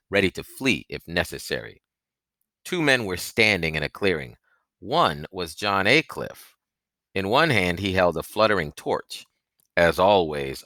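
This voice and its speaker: Guy reading an audiobook, deep bright voice, dramatic and suspenseful, really dry recording. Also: dry recording. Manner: expressive, suspenseful. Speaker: audiobook narrator. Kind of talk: dramatic storybook narration.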